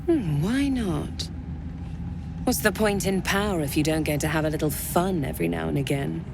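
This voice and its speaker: Low-voiced, haughty female cult commander. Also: moderate pace, vocal fry, music in the background. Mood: rapt, seductive. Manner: commanding, silky, menacing. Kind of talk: video game character acting.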